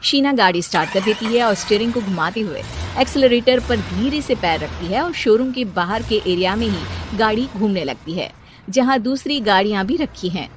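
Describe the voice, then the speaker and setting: A woman's voice: mid-range voice, lively intonation, normal speed, a little hiss or hum. A woman reading scripted narration for a cartoon story.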